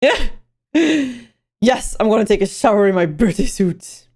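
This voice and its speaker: A young woman from Belgium, her mother tongue, with a lilt to her English. Her voice sits a touch high, and she chats loosely on a livestream, wandering from thought to thought as she answers her viewers. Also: animated, a little slow, a little mellow, husky. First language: Dutch. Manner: chatty, rambling, answering chat messages. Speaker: livestream host. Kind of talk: casual livestream chat.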